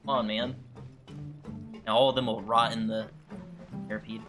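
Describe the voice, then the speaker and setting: A man's voice: fairly low pitch, little pitch movement, moderate pace. A man giving informal gaming commentary, thinking aloud loosely as he plays. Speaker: gaming streamer. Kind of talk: casual playthrough commentary. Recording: game music under the voice. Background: game music.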